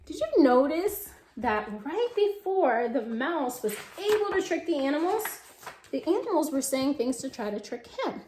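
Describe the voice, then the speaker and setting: A woman reading to young children and discussing the book, expressive and asking questions directly to the listeners. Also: sits high, normal speed, reverberant, slight background noise. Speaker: children's story reader and teacher. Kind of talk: animated read-aloud and discussion for young children.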